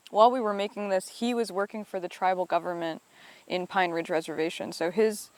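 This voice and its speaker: A woman's voice: fairly low pitch, clean tone, no echo. A woman who directs and edits documentaries, talking thoughtfully about her work in an interview, often hedging with "I think" and "you know.". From upstate New York. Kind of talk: reflective interview answers.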